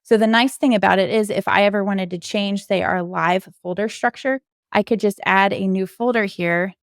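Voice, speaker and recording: A woman's voice: medium pitch, fairly even intonation, moderate pace, dry recording, studio quality. A woman giving an informal screen-share coding walkthrough, explaining things step by step as a podcast co-host.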